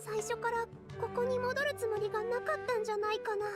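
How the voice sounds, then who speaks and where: An actress playing a gentle young girl in an anime fantasy game. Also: very high-pitched, little pitch movement, racing, faint music behind. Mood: concerned, explaining. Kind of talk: Japanese anime game voice acting.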